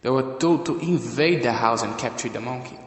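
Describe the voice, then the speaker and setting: A man narrating an amateur audio drama in a halting, deliberate way. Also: medium pitch. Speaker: narrator and reader of all parts. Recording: echoey room with long reverb.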